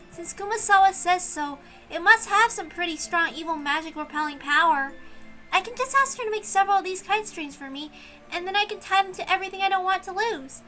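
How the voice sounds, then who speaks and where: A woman's voice: very high voice, sharp tone, pitched above the performer's own voice, full character voice, rough recording. A woman voicing an earnest, naive young servant girl with an eager, wondering manner, in a visual novel read-aloud.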